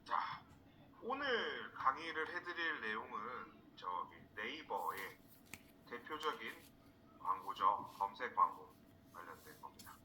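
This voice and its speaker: A man from South Korea teaching a live online class in an informal, halting way, repeating words for emphasis. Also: medium pitch, sing-song, pushed and bright, a little hiss or hum, rough recording. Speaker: instructor, marketing trainer. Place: indoors, online screen-share session.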